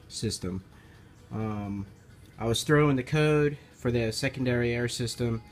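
A man giving a casual, hands-on repair walkthrough in an informal, halting, hesitant way, recorded on a handheld camera. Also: medium pitch. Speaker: amateur car repair video maker. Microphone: handheld camera held over the engine. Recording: noticeable background noise, amateur handheld camera.

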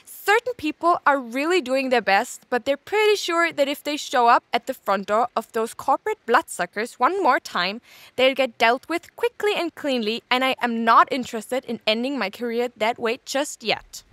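An actress playing a scatterbrained, sarcastic investigator who rambles and interrupts herself in an audio drama log. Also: female voice, sits high, animated, normal speed, a bit bright, dry recording. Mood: sarcastic, frustrated.

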